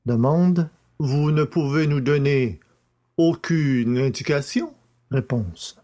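A man reading a magistrate's questions deliberately in a dramatised audiobook. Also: on the high side, dark tone.

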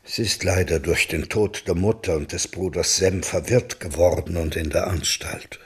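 A tactful older gentleman in a German radio drama. His voice is very raspy and low, and he speaks with formal, careful politeness. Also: moderate pace. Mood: careful, concerned. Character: courteous, tactful older man, loyal family friend. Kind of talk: German radio play acting.

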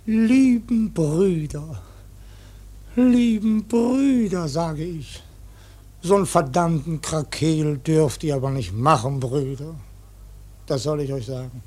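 An actor in a radio play voicing an ancient, stubborn ship's cook who preaches piously and grumbles with curses in a husky voice. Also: male voice, fairly high pitch, takes it slowly, a little mellow. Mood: preachy, scolding. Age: older. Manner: grumbling mock-preacher, swears constantly. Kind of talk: German radio play acting.

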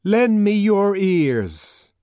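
Man acting a loud, high-pitched, impassioned young savage. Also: sing-song, drawn-out words, dry recording, clean studio sound, heavily acted. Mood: urgent, pleading. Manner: loud, ringing, pleading, declamatory.

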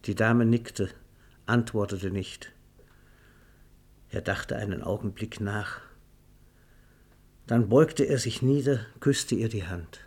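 A man narrating a literary radio drama in a measured, matter-of-fact manner. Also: lowish voice, a little mellow, husky.

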